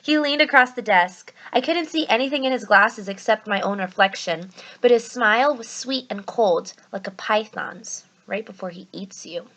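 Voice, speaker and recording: A woman teacher speaking to her students in an expressive read-aloud style. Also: medium pitch, moderate pace, hardly any pauses, poor audio.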